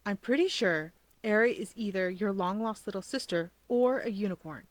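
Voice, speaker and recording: A woman's voice: medium pitch, normal speed, dry recording, lightly acted. A woman voicing an earnest, melodramatic teenage boy conspiracy theorist, matter-of-fact, in a story reading. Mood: certain. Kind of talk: audiobook character voice.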